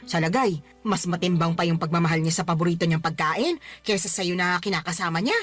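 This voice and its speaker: A woman's voice: lowish voice, a little fast, a bit bright. A woman acting a fond middle-aged mother who teases and scolds in an exclamatory way in a radio drama. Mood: advising. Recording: music under the voice. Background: music.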